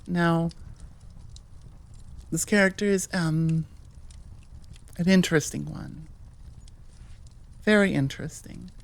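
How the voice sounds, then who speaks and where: An American woman hosts a casual outro with a slightly low voice. She talks at an unhurried, very slow overall pace, rambling and correcting herself as she goes in an informal, conversational way. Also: gravelly, darkish tone. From Nevada, United States. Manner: informal, self-correcting, chuckling asides. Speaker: audio creator, host.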